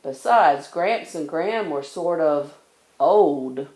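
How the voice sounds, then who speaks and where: Lady reading kids a bedtime story, kinda low voice, echoey room. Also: lively intonation, slow pace, darkish tone, a little hiss or hum. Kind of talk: expressive children's storybook narration.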